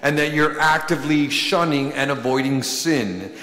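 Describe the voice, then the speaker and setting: A man in his thirties, a priest delivering a sermon from a pulpit, speaking with slow, deliberate emphasis and pauses. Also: on the high side, fairly bright tone. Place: large church, at a pulpit. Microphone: pulpit microphone. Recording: echoey church with long reverb.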